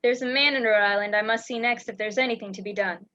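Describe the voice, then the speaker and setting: Young woman playing a high-voiced, urgent lady spy. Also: steady tone, fast talker, bright, forceful tone. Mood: subdued. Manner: urgent, dramatic, pleading. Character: frightened, secretive woman spy, urgent and mysterious. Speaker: student actor in a school radio play. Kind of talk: amateur radio play acting. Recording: dull lo-fi home recording.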